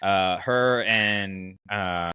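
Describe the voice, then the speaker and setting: A man's voice: sits low, very slow, bright, forceful tone, smooth, no echo, studio quality. A man hosting a casual fan podcast, talking through his choices in a conversational way with frequent asides. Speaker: podcast host.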